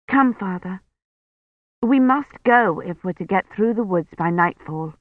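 A woman's voice: medium pitch, lively intonation, unhurried, dry recording, phone-quality sound, close to an everyday voice. Narrator playing an anxious, insistent woman, raised voice. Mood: urgent, pleading. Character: anxious, urgent young woman caring for a priest.

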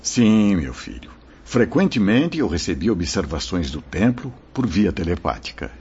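Husky, expressive fatherly spirit mentor. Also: low-pitched, exaggerated voice. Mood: solemn recounting. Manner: measured, earnest, formal preaching delivery. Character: fatherly male spirit mentor, gentle, solemn, reassuring. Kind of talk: radio drama acting. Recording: dull lo-fi radio drama recording.

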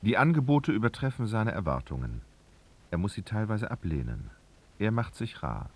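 A man's voice: fairly low pitch, sing-song, normal speed, slight background noise. A man narrating a literary radio drama, reading expressively and dramatically.

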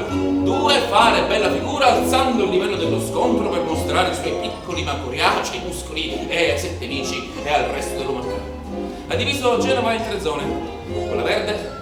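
A man's voice: high voice, expressive, fairly quick. A man performing a theatrical narrative monologue, declamatory and ironic, with incantatory repetition. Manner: ironic, satirical. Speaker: stage actor and storyteller. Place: echoey performance space, music behind. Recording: echoey theatre, distant mic, music behind.

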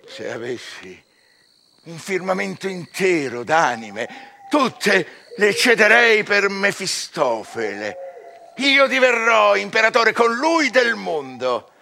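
A man's voice: sits high, big pitch swings, slow, gravelly, pushed and bright, slight background noise, exaggerated voice. An actor playing a proud scholar who bargains his soul with the devil, declaiming theatrical verse with dramatic swells and drops in a radio drama. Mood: exultant, grandiose.

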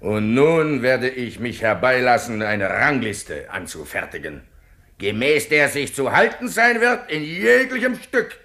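Cruel rebel captain, radio drama, pompous bellowing, wildly swinging pitch, hard bright edge. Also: male voice, animated, a little slow. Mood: bombastic, gloating. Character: ranting, cruel, bombastic male rebel captain. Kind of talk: theatrical German radio play acting.

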